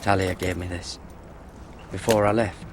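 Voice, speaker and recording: A man's voice: sits low, fairly even intonation, moderate pace, noisy background, natural voice. An actor playing an earnest, dutiful young squire turned watchman in a fantasy game, with a questioning manner. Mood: quiet wonder. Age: young adult. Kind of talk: dramatic fantasy game acting.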